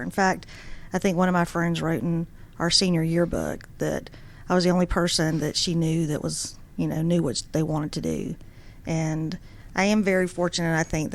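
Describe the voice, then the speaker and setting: A woman tells her story in an interview. She sounds earnest and friendly. Her voice sits on the low side. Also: darkish tone. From Georgia, USA. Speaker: guest, superior court judge. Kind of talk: casual interview.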